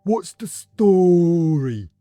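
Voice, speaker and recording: A man voicing an excitable, nosy little hooded plaster figurine in a storybook, calling out in drawn-out phrases. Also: high voice, dry recording, studio quality, soft background music, pitched above the performer's own voice, heavily acted. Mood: eager, nosy. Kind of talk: storybook character voice.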